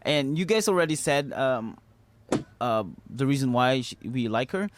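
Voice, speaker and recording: A man co-hosting a casual movie review chat, animated and laughing often, with many fillers. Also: medium pitch, normal speed, slight background noise. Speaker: podcast co-host.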